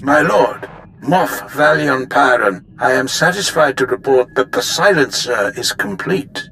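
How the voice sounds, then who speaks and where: An actor playing a formal, deferential Imperial officer giving a report in a video game. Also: male voice, medium pitch, lively intonation, unhurried, fairly bright tone, faint music behind, rough recording. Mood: dutiful.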